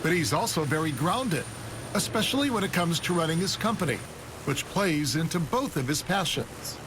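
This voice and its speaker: A man's voice: on the high side, normal speed, background noise. Polished male TV news reporter. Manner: polished, even news-reading delivery. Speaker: TV business reporter. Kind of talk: scripted news narration.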